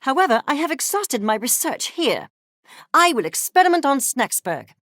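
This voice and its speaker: An obsessive little creature of science for a video game. The delivery is pompous and clipped, with exact academic diction and lively swings in pitch. The temper is coldly curious and scornful, heard in a dry, close studio sound. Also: female voice, moderate pace, no echo. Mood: haughty, eager. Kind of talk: video game character voice lines.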